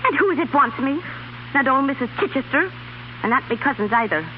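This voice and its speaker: A woman's voice: sits high, lively intonation, fairly quick. An actress playing a feisty, plain-spoken young Irish country girl with blunt dialect speech in a 1930s radio drama. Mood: stubborn, softening. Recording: dull, hissy 1930s radio recording.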